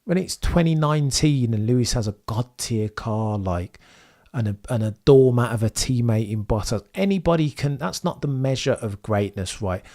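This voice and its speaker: A man's voice: medium pitch, lively intonation, moderate pace, warm tone. A young man commentating on a live stream and reading chat. He is losing his voice, and he talks in opinionated, rambling runs full of tag questions. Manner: opinionated, rambling, frequent "right?" tags. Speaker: Formula 1 streamer.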